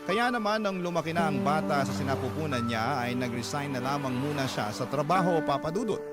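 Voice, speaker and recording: A man reading his own life story aloud as a letter to a radio host, steady and confessional. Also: on the high side, fairly quick. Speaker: letter-sender narrator. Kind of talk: scripted storytelling narration. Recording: narration over loud background music.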